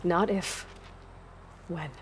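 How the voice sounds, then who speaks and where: Resolute young huntress. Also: female voice, fairly low pitch, steady tone, drawn-out words, a little hiss or hum. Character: resolute young outcast huntress leading her companions. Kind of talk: video game cutscene acting. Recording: dialogue over game score.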